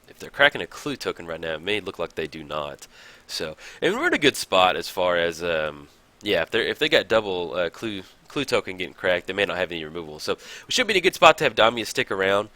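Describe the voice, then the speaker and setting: A man casually commenting on his own card game match, thinking aloud with many fillers, his voice a bit raspy in the morning. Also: deep voice, sing-song, a little fast, sharp tone. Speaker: card game video host.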